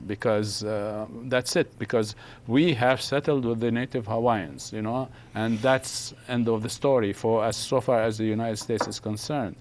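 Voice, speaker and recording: A man's voice: mid-range voice, darkish tone. An older man from Palestine hosting a live TV studio panel, hesitant with many fillers but emphatic. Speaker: host.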